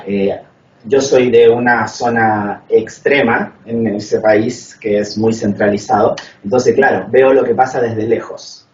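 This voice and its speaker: A man's voice: mid-range voice, fairly even intonation, darkish tone. A man from Chile puts a long, structured question to a speaker at a conference. He talks methodically and leans on "eh" as he lays out his points. He is recorded from across an echoey hall, a little dull and lo-fi, with faint room hum behind him. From northern Chile. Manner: measured, methodical, frequent "eh" fillers. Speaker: audience member asking a question. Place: lecture hall. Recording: echoey, distant, dull lo-fi sound.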